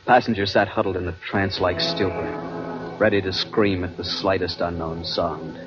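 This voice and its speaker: An actor playing a haunted young adventurer in an old radio thriller, with dramatic, suspenseful, deliberate delivery. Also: male voice, deep voice, steady tone, natural voice. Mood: grim suspense. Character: haunted, guilt-ridden young adventurer narrating his terror at sea. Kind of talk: 1940s-50s radio drama narration and acting. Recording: dull vintage radio recording with prominent music.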